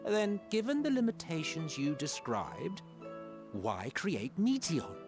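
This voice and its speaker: A man's voice: fairly high pitch, sing-song, takes it slowly, full character voice. An actor playing an inquisitive, skeptical man in a game cutscene, asking pointed questions. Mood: questioning. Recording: game audio with music behind.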